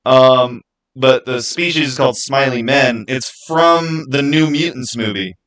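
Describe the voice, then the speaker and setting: A man's voice: mid-range voice, a little slow, a bit bright. Casual, rambling male livestreamer. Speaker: livestream host. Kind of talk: casual livestream chat, answering viewers' comments.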